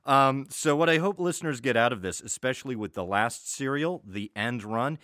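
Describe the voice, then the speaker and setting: A man's voice: medium pitch, animated, normal speed, pushed and bright, no echo, clean studio sound. A man hosting a casual podcast chat, often restarting his phrases as he goes. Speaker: podcast host and audio drama writer.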